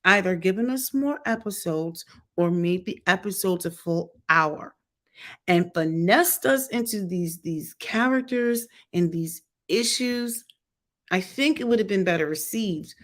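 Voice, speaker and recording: A woman's voice: medium pitch, unhurried. A middle-aged woman giving candid, casual opinion commentary as a vlogger, talking in a chatty, blunt way with mocking asides. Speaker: vlogger reviewing a TV show.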